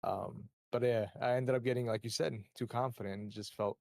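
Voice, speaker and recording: A man in a casual trading voice chat, sharing personal experience in a halting, rambling way. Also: medium pitch, fairly even intonation, creaky, a little mellow, dry recording. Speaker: trader taking part in a group voice chat.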